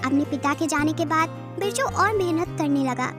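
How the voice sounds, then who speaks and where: A woman's voice: sits high, normal speed. A woman narrating a scripted moral story for children. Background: background music.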